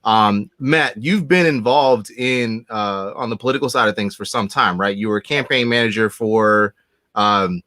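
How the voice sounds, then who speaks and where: A man's voice: on the low side, normal speed, pushed and bright, dry recording. A man in his thirties hosting a podcast, talking in a loose, joking way with many fillers. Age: 30s. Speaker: podcast host. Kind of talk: casual interview chat.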